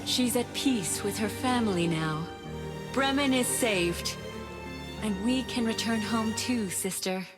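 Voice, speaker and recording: A woman's voice: on the high side, takes it slowly, over loud music. A woman playing an earnest, heroic young sister in a fairy-tale video game cutscene. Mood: relieved, gentle.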